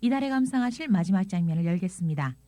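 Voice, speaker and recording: A woman presenting a scripted audio language lesson, explaining things in an expressive reading style. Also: lowish voice, fast, no echo, clean studio sound. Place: dry studio.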